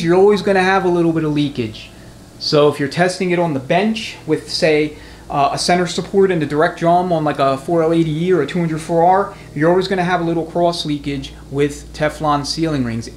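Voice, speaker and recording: A man giving a hands-on mechanic's tutorial in a matter-of-fact, conversational way. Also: on the high side. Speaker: transmission mechanic, instructor. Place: indoor garage workshop. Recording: echoey garage with clear background noise.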